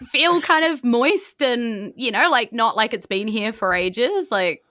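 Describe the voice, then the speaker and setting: A woman's voice: a little high, lively intonation, unhurried, bright, forceful tone, no echo, studio quality. A woman, narrating a tabletop game as its host in an informal, conversational way.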